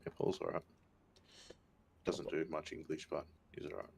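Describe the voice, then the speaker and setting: Aussie guy, deep creaky voice, laconic, just chatting casually while playing on a stream. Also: lively intonation, normal speed, a little hiss or hum. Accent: Australian. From Australia. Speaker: co-player on a livestream. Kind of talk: casual chat while gaming.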